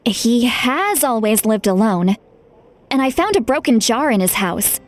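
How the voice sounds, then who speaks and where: A woman playing a responsible, frank young female leader in a video game, speaking in a measured, earnest way. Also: fairly high pitch, very animated, soft background music. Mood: earnest, concerned.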